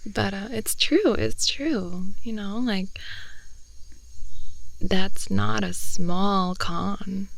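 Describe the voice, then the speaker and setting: A woman playing an affectionate, rambling girlfriend in an intimate improvised ASMR roleplay, soft and hesitant, often slipping into whispers, close to the mic. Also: mid-range voice, extremely slow, gravelly, rough recording. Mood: musing, affectionate. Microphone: close binaural headphone-oriented microphone.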